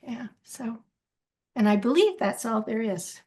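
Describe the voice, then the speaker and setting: A woman artist presenting a textile piece slide by slide, halting and restarting as she explains. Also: a little high, sing-song, mellow. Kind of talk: slide presentation of her art.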